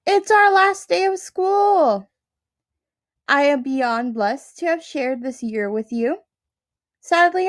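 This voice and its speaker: A woman teacher addresses her first-grade class in a slow, careful, tender way. Her voice sits a little high, and the recording is dry and close, with no room sound. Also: dry recording. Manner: slow, careful, warm-hearted delivery to young kids.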